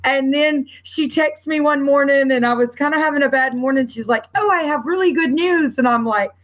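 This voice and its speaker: A woman's voice: sits high, fairly quick. A woman hosting a casual online book club panel, chatty and rambling, laughing easily, on a home computer mic during a video call.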